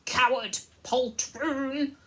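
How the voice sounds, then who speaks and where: A woman voicing a fierce, proud male talking mouse warrior who squeaks defiantly. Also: steady tone, very slow, a bit bright, heavily acted.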